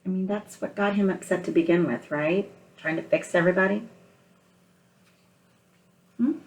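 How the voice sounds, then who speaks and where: A woman with a slightly low voice teaches a read-aloud lesson for young children. She speaks as a kindergarten teacher, putting questions to her listeners and explaining each step in simple, patient terms. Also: moderate pace, a little mellow, echoey room, poor audio.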